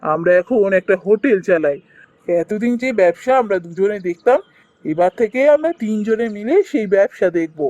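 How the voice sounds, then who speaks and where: A man voicing a kindly, emotional village brother in a fairy-tale cartoon, expressive and melodramatic. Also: high-pitched, moderate pace, no room sound, muffled recording. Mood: remorseful.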